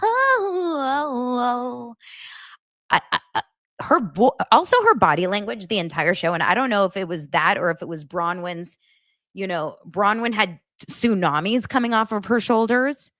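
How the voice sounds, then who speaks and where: A woman's voice: medium pitch, lively intonation, unhurried, fairly bright tone, no room sound, studio quality. A woman hosting a daily pop culture show, chatting to her audience in a gossipy, exaggerated way. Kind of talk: casual chat to camera.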